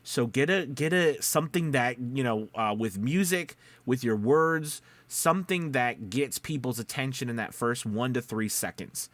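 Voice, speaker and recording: Male vlog host and coach, average pitch, informal and explanatory, recorded dry. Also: animated, a little slow, hardly any pauses, fairly bright tone, no echo. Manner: conversational, explanatory, often checking in with "okay" and "you know". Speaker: voice-over coach and video host. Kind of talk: casual instructional vlog talk.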